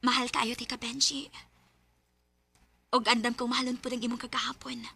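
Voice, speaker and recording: An actress playing an emotional, tender young wife in a Filipino radio drama, with a Cebuano accent. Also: female voice, on the high side, little pitch movement, normal speed, vocal fry, pushed and bright, slightly rough, heavily acted. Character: hurt, loving young wife accepting her husband's child.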